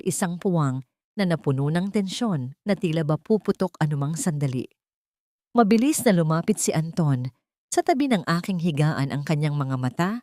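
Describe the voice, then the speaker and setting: Filipino accent lady narrating a dramatic story, kinda low voice, super dry audio. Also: a little mellow, no echo. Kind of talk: scripted dramatic first-person narration.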